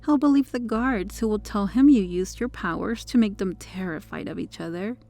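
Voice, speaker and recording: A smug, possessive superheroine played by a woman speaks in a mellow voice with plenty of creak at the edges. She is flirtatious and condescending, teasing her captive in an intimate ASMR roleplay. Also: medium pitch, normal speed, lightly acted. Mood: smug scheming. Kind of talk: ASMR roleplay monologue addressed to the listener.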